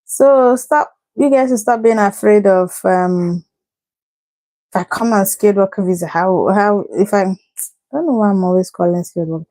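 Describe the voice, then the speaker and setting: A woman's voice: mid-range voice, normal speed, dark tone, no room sound, rough recording. A woman from Cameroon hosting a casual livestream, giving visa advice and encouraging her viewers by name while laughing often.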